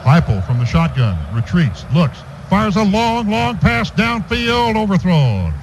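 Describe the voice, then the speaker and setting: A man's voice: sits high, very animated, unhurried. An American man calling live football play-by-play on the radio, stretching words as plays develop. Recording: dull radio broadcast, clearly noisy.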